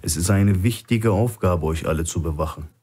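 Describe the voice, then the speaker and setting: Masked gunman for thriller audiobook, male narrator acting: low, dark, coarse, threatening. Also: normal speed, slightly rough. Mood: gruff, scornful. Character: menacing, nervous masked male gunman guarding hostages.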